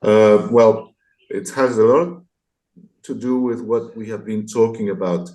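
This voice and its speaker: Low-voiced, mellow man in his sixties. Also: fairly even intonation, unhurried, low-quality recording. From France, partly raised abroad. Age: 60s. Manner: thoughtful, hesitant, self-deprecating, articulate. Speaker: interview guest, graphic novelist and illustrator. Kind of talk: reflective conversational interview answers.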